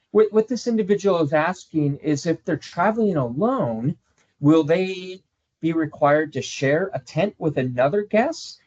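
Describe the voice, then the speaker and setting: A man's voice: a little high, darkish tone. Upbeat male webinar host. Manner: upbeat, polite, promotional. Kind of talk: hosting a travel webinar. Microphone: video call. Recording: slightly dull video call audio.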